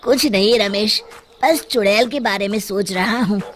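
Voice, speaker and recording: A woman voicing a worried village father, earnest and conversational, in an animated children's story. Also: mid-range voice, moderate pace, slight background noise, pitched below the performer's own voice, close to an everyday voice.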